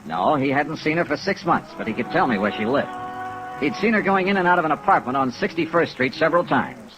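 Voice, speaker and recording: A male actor as a brisk American insurance investigator in an old radio drama. He reels off his case with clipped, wry authority and lively inflection, over music and rain. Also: a little high, natural voice, rough recording. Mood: businesslike narration. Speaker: lead actor and narrator of a detective radio serial. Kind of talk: 1950s radio detective acting.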